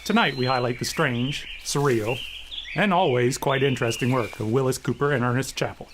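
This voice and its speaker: Deliberate male host reading scripted introduction. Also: mid-range voice, background noise. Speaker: host introducing old radio episodes. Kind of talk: scripted narration.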